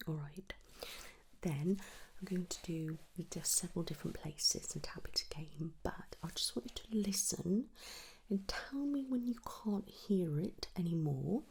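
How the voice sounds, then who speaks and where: A woman speaking in a breathy, gentle whisper, softly instructing a patient as an audiologist in an ASMR medical roleplay. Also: lowish voice, measured pace, rough recording. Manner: gentle, soothing, reassuring. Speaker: ASMR performer playing an audiologist.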